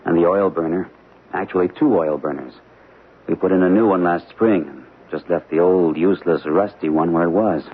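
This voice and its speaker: An actor playing a protective husband and father who tries to stay calm about a ghost, speaking earnestly in a 1970s radio drama. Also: low voice, normal speed, slightly rough. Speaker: homeowner. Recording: narrow-band old radio broadcast with hiss.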